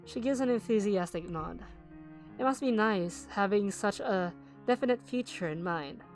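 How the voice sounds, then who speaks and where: A woman's voice: medium pitch, normal speed, soft background music, lightly acted. A woman reading the inner narration of a reserved, thoughtful teenage schoolboy in a visual novel, plain and conversational.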